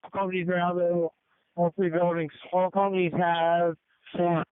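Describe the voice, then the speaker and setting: High-pitched male fire commander on radio. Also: little pitch movement, moderate pace, clear, resonant tone, no echo. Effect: two-way radio. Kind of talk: fireground radio traffic. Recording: phone-like narrowband radio transmission.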